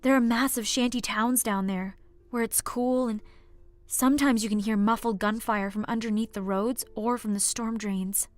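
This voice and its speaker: A woman's voice: on the high side, steady tone, moderate pace, no echo, natural voice. A woman as chatty barkeep, wry rumor-spreader, dry close sound, light music bed, fantasy audiobook. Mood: concerned, informative. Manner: chatty, colorful, matter-of-fact. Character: gossipy, wry female bartender passing on rumors. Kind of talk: scripted audiobook character acting.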